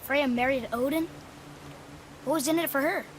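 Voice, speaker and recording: A man's voice: very high voice, moderate pace, a bit bright, a little hiss or hum, rough recording. A young male actor playing a curious, blunt boy in a video game, inquisitive and plain-spoken. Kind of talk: video game cutscene acting.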